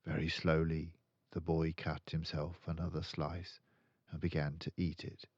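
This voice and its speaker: An older man narrating a children's story aloud in an expressive storytelling style. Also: very deep voice, a little slow, no room sound, highs cut off. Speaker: storyteller reading a children's book.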